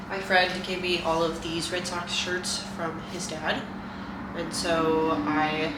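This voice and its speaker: A young woman with a stuffed-up nose chatting casually and rambling to her vlog viewers in a self-deprecating way. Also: low voice. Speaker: vlogger. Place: indoors at home, in a kitchen. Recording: echoey room, distant mic, loud noise.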